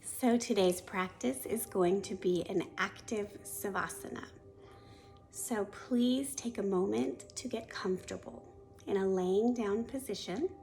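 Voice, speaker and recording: A woman yoga teacher leading a guided meditation in a soft, soothing, gently instructive voice that often trails into a breathy near-whisper. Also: medium pitch, unhurried, slight background noise.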